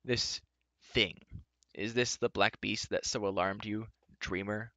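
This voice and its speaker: A man's voice: on the low side, steady tone, takes it slowly, sharp tone, no echo, heavily acted. A man voicing a haughty, bitter nobleman warrior in a story, with a pompous, contemptuous manner. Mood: bitter contempt.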